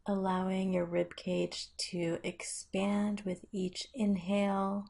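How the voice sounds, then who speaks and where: A woman leading a guided meditation with measured, instructional phrasing and long pauses. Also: mid-range voice, completely flat delivery, creaky, a little mellow.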